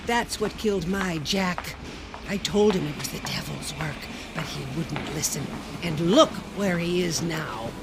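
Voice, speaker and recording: An actress playing a pious, insistent older widow with a preachy, folksy manner in a radio play. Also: female voice, on the low side, unhurried, background noise. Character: pious, preachy old widow pressing strangers in the subway. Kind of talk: radio drama acting.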